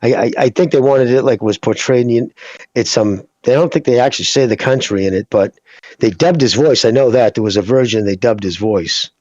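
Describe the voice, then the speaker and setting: A man co-hosting a boxing podcast, talking in loose, profane, animated banter. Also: medium pitch, fairly quick, dry recording, highs cut off. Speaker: podcast co-host. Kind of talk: casual chat.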